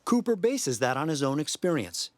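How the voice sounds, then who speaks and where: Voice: mid-range voice, very animated, normal speed, no echo. A reporter delivering scripted news narration.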